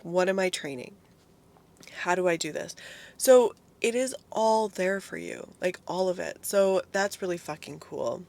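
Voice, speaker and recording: A young woman vlogging about fitness in a casual, chatty way, swearing freely, her voice hoarse because she is losing it. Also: lowish voice, a little slow, a little mellow. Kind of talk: casual solo talk to camera.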